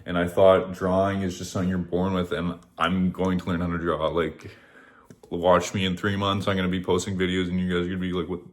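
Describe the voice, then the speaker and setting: Man, 30s, vlogger, motivational rant, rambling, swears a lot, slightly low voice. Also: level tone, fairly quick. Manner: rambling, profane, repeats "whatever".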